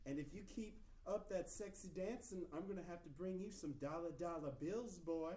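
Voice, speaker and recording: A man acts out a flamboyant bride's brother in a comedy script reading, sassy and rather high-voiced. The room echoes around the voice, with noise behind it. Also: moderate pace, distant mic, close to an everyday voice. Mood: flirty, sassy. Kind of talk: comedy screenplay table read. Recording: roomy table read with clear background noise.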